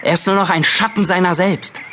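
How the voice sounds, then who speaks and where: A man's voice: high voice, fairly even intonation, brisk, very noisy, natural voice. An actor playing an earnest, concerned young man, a younger brother, in an audio drama. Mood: worried, disappointed. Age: young adult. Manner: earnest, troubled. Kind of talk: German audio drama acting.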